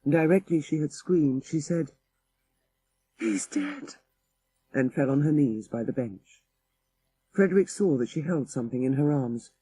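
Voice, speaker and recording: A man's voice: fairly high pitch, moderate pace, warm tone, husky, poor audio. A man narrating a literary ghost story for an audiobook in a dramatic style.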